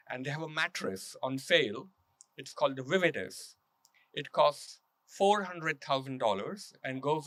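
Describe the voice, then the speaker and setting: A man with a bright, crisp-edged, mid-pitched voice gives a public talk. He tells his stories like a writer, measured and emphatic, building with deliberate repetition. The sound is close and dry. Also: dry recording, low-quality recording. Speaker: author and journalist speaking at a public reading. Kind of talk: public talk with prepared storytelling and read testimony.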